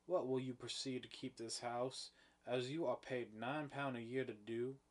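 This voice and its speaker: A man's voice: mid-range voice, fairly even intonation, normal speed, close to an everyday voice. A man reading an angry guilt-torn farmer. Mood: stern. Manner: forceful, rising to shouts.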